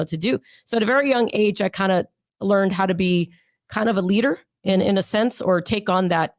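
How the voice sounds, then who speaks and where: A young adult woman, hoarse and raspy from sinus pressure, chatting as an interview guest in a rambling way with many fillers. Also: mid-range voice, dry recording, clean studio sound. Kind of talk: casual interview storytelling.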